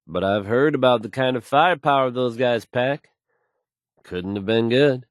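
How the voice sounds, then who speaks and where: Man playing assured male game radio host, mid-pitched, suave, wry, folksy, polished news delivery, close dry sound. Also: moderate pace, no room sound, lightly acted. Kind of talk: smooth scripted radio news delivery, video game voice acting.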